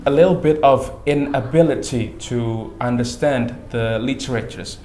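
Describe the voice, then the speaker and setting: A man who speaks natively, a guest in a casual interview chat, talking haltingly in English with lots of fillers and repeated words. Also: medium pitch, darkish tone, a little hiss or hum. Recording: echoey room.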